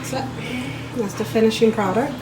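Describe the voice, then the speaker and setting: A woman's voice: a little high, moderate pace, loud music behind the voice. A woman chatting informally and directly to her viewers as she films a home cooking video. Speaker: home cook vlogger. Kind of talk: casual chat to viewers while cooking. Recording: clearly noisy home video recording.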